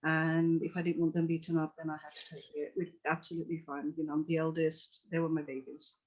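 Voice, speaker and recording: A middle-aged woman from Tanzania speaks earnestly and reflectively, circling back with "you know" as she recounts her past. Her pitch stays level and even. The call audio sounds dull and lo-fi. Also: fast talker. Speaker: psychotherapist guest. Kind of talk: podcast interview storytelling.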